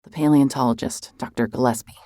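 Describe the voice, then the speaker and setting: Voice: mid-range voice, steady tone, fast, warm tone, no echo, lightly acted. An actor playing a hard-hearted, suspicious investigator in an audio drama, with a blunt, sardonic, pressing way of talking. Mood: probing. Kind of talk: cinematic audio drama acting, naturalistic.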